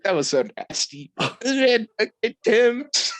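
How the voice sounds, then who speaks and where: Man, podcast co-host, animated pitch swings, slangy excitable banter, dry close sound. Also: a little slow, no room sound. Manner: slangy, excitable, repeats phrases for emphasis. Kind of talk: casual banter reviewing a movie.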